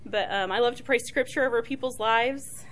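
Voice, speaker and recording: A middle-aged woman, soft-spoken and chatty, leading a casual church talk on prayer with many fillers and restarts. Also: a little high, normal speed.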